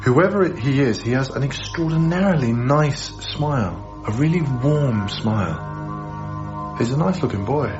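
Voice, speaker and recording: An actor plays an awkward, kindly young man in a radio drama. He stumbles hesitantly over his words at a medium male pitch. The recording is slightly dull, with prominent music behind him. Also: expressive, measured pace, close to an everyday voice. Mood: musing, warm. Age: young adult. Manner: hesitant, stammering, solicitous. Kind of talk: naturalistic radio drama acting.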